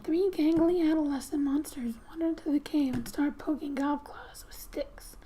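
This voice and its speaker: Soft, high-voiced woman, breathy and half-whispering. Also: steady tone, moderate pace, slight background noise. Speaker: gaming ASMR creator. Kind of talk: soft-spoken ASMR, reading game story aloud with casual asides. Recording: quiet background music. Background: soft music.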